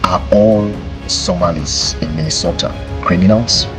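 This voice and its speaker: A man hosting a podcast, giving scripted explanatory commentary in a deliberate way, with rhetorical questions and repeated phrases for emphasis. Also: deep voice, loud music behind the voice. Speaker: podcast host.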